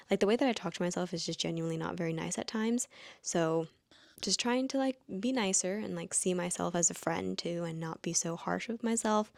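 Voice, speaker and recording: A woman's voice: fairly low pitch, vocal fry, darkish tone, no room sound, studio quality. A woman in her late twenties musing aloud in a casual vlog, rambling with lots of "like" fillers. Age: late 20s. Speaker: vlogger. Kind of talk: reflective casual monologue.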